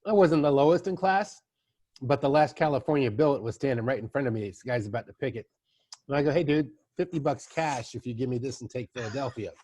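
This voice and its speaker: A man's voice: medium pitch, fast, darkish tone, no room sound. Chatty middle-aged man spinning stories. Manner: loose storytelling, chatty asides. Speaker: podcast host. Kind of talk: casual conversation.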